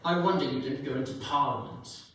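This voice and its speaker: A man's voice: mid-range voice, brisk, fairly bright tone, close to an everyday voice. Young man acting bitter, sneering old miser in echoey hall. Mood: curt, irritated. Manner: curt, sneering, sarcastic. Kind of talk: dramatic reading on stage. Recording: very echoey hall, distant mic, faint noise.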